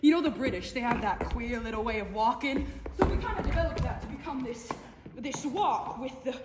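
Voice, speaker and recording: A woman's voice: a little high, expressive, fairly quick, close to an everyday voice. A young woman performing a dramatic monologue as a brash, sparkling silent film comedienne, showy and theatrical, with conversational stammers. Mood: playful, excited. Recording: echoey hall, distant, noisy room.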